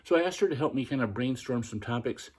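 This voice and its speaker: A man hosting a podcast, talking in a practiced feminine voice that is quiet and soft, with the pitch lifted by tightening his throat. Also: lively intonation, fast talker, husky. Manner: pitch raised by tensing the throat. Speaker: podcast host. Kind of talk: casual instructional monologue.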